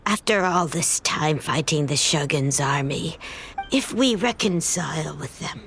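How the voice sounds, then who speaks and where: Earnest, slow-spoken anxious islander woman. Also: deep voice, animated, vocal fry, fairly bright tone, breathy edge, full character voice. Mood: pleading. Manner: pleading, deferential. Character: earnest, anxious female islander pleading with leader.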